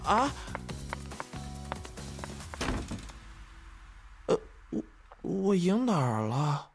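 An actor playing a spirited, bashful young man in a romance audio drama, expressive and boyish in delivery. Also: high voice, takes it slowly, vocal fry, faint music behind, heavily acted. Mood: flustered. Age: young adult.

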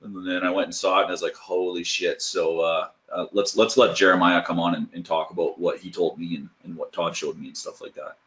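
Male webinar co-host, lower register, casual chat delivery, lo-fi recording. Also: level tone, fairly quick, hardly any pauses, a bit bright. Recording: dull, lo-fi webinar audio.

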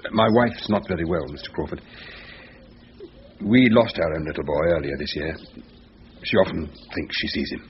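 An actor playing a subdued, protective British husband and grieving father in a radio drama, terse and measured. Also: extremely low pitch. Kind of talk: radio thriller acting. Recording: muffled recording, faint noise.

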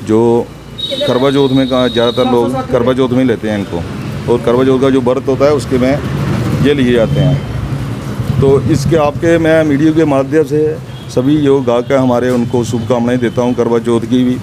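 Middle-aged male shopkeeper, plain rambling answers, handheld mic, clear street noise behind. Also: mid-range voice, steady tone, normal speed. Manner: plain, rambling, repeats himself. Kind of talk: interview. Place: inside a small shop. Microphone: handheld mic held to him.

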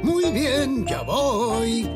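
An actor voicing a kindly, playful elderly zebra grandfather in a children's cartoon, patient, cheerful and creaky. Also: mid-range voice, big pitch swings, drawn-out words, loud music behind the voice. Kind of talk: animated children's cartoon dubbing.

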